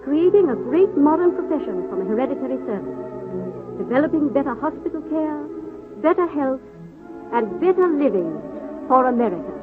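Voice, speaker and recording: A woman's voice: high-pitched, big pitch swings, moderate pace. A woman narrating a 1930s radio program in a formal, declamatory style. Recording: tinny 1930s radio recording. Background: music.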